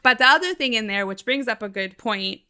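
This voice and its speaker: A woman's voice: on the high side, lively intonation, a little fast, sharp tone, clean tone. A woman co-hosting a cooking podcast, chatting casually with lots of "like" fillers and easy laughter. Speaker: podcast co-host. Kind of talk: casual chat.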